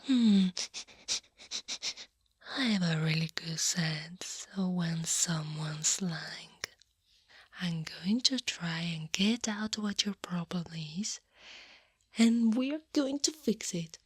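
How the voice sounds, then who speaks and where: An Italian woman playing a tall, teasing Krampus monster woman in a soft, breathy ASMR roleplay, often dropping into a whisper. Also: fairly low pitch, slow pace, long pauses, no room sound. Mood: coaxing, gently menacing. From Italy. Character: eight-foot horned furry Krampus woman, teasing, coaxing, in control.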